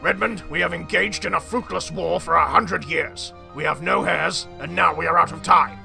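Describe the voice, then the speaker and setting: Very creaky pompous old tycoon. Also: male voice, a little high, moderate pace, sharp tone, faint music behind, full character voice. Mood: grave, earnest. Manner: pompous, earnest. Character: pompous, feeble-minded ancient male tycoon, feuding brother.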